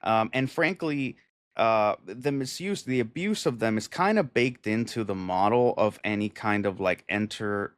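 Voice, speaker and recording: A man's voice: lowish voice, no room sound, studio quality. A man co-hosting a podcast, talking in a rambling, profane, argumentative way. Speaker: podcast co-host. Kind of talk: casual chat.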